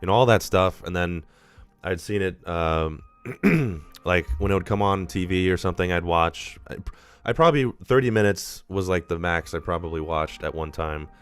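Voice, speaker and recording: A man's voice: low-pitched, normal speed, clean tone, faint music behind. A man hosting a live movie-review stream, talking casually and rambling, a little nasal from being sick. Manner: rambling, casual, swears freely.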